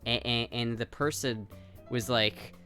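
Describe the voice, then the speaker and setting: A streamer in casual chat, a man with a mid-range voice who stammers and repeats himself as he talks, laughing easily. Soft music runs faintly underneath. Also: mid-range voice, steady tone, measured pace. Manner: stammering restarts, easy laughs. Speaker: streamer hosting the livestream.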